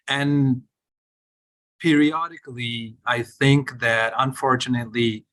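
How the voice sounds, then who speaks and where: Bright-voiced man, hesitant panel answer. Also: mid-range voice, low-quality recording. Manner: hesitant, repeats words, thinking aloud.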